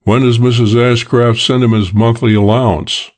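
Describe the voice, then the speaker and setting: A man's voice: mid-range voice, level tone, normal speed, darkish tone, natural voice. A man voicing a practical private detective in an audiobook, clipped and matter-of-fact. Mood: brisk, matter-of-fact.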